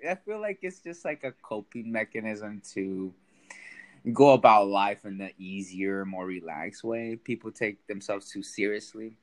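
A man's voice: fairly low pitch, a little slow. A young man from Sacramento, a stand-up comedian, chatting casually as a podcast guest, self-deprecating and laughing often. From Sacramento, United States.

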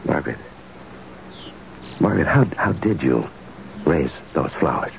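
An anxious, ambitious corporate husband in a 1970s radio play. He stammers urgently, his pitch leaping about. The recording is muffled, with a faint hiss. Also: animated, a little slow, vocal fry, exaggerated voice. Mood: anxious, puzzled. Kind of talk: 1970s radio drama acting. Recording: dull, noisy old radio recording.

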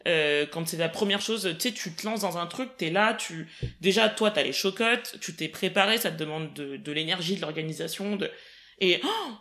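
Lively Parisian woman chatting fast. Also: lowish voice. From Paris region, France. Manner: lively, quick, laughs often, emphatic. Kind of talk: casual podcast chat.

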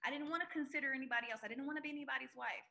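A woman in her forties, a guest entrepreneur telling her story in an emphatic, animated way on a podcast interview. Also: high-pitched, racing, vocal fry. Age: 40s.

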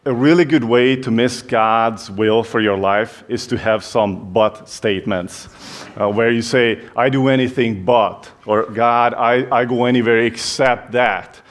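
A man's voice: mid-range voice, measured pace. A young man from Hungary with a Hungarian accent, giving a chatty, self-deprecating church talk full of fillers and restarts. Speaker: youth pastor. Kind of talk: church talk, personal story.

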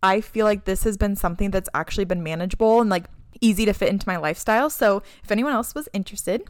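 A woman's voice: medium pitch, fast, no room sound, clean studio sound. A woman in her mid twenties co-hosting a podcast, chatting in an upbeat way with lots of "like" fillers. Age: 20s. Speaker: podcast co-host. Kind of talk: casual chat.

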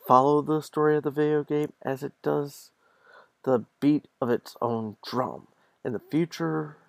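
A man's voice: medium pitch, vocal fry, dry recording. A man hosting a podcast solo, talking informally and partly reading from an article. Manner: informal, conversational. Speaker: podcast host.

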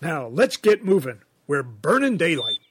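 A man's voice: on the high side, big pitch swings, measured pace, heavy background noise. A man playing an earnest, bumbling handyman dad in a comedy radio sketch, sheepish and hesitant when explaining himself. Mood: upbeat, earnest.

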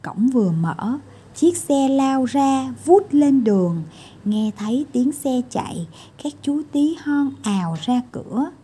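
A woman narrates a children's tale as a storyteller. Her voice is fairly high and very animated, with an unhurried pace and an expressive scripted delivery. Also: breathy edge.

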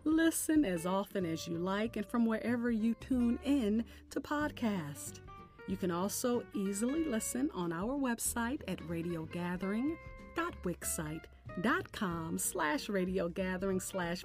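A woman hosting a podcast, delivering scripted announcements and poetry in a deliberate, expressive way. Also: medium pitch. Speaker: podcast host. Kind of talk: scripted show sign-off and poetry recitation. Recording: music bed under the voice. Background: music.

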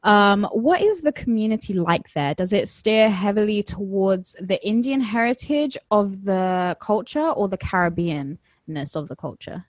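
A woman with a London accent hosting a podcast interview, speaking in a measured, thoughtful way with some hesitant fillers. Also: mid-range voice, lively intonation, no echo, studio quality. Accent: London English. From England. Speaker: podcast host.

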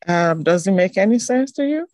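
A hoarse woman from a church congregation giving a halting, reflective comment over a video call. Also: mid-range voice, expressive, a little mellow, dry recording, clean studio sound. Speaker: congregation member. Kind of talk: spoken comment during online service.

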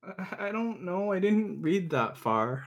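Animated, halting, sweary male podcast guest. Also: high voice. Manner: halting, exasperated, profane. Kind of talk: casual chat.

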